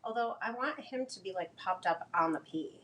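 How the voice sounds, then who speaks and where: A woman's voice: medium pitch, lively intonation, moderate pace, a bit bright, slight background noise, low-quality recording. A woman hosting a card-making live stream, chatting playfully and thinking aloud while she works. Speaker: craft live stream host.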